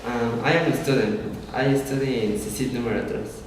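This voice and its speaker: A young man from Mexico with a Mexican Spanish accent, a native Spanish speaker, asking prepared interview questions hesitantly with many pauses. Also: mid-range voice, fairly even intonation. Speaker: student interviewer. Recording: distant mic, echoey hall, noticeable noise.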